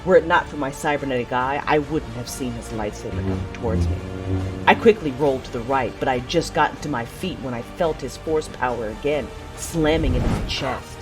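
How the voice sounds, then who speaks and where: A woman playing a proud, vengeful warrior, telling the story in intense, measured first-person narration for an audio drama. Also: a bass voice, over music, rough recording. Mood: intense, gritty. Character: proud, vengeful wounded warrior turning to the dark side.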